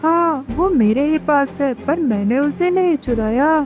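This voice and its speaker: Cartoon male parrot, played by a woman: high voice, nervous, pleading, music behind. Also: expressive, unhurried, a little mellow. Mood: anxious, pleading. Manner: anxious, pleading.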